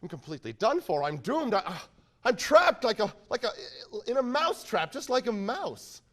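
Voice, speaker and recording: An actor playing an impulsive, lovesick landowner who stammers and swings between shouting and murmuring on stage. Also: male voice, very high-pitched, very animated, moderate pace, heavily acted. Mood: lovestruck. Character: lovesick blustering male landowner, impulsive and excitable. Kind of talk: stage play performance.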